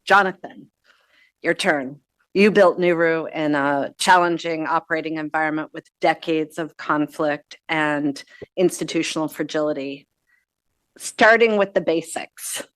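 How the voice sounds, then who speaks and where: Low-voiced woman moderating a panel. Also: steady tone, unhurried, a bit bright, no echo. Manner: polite, apologetic, posing questions.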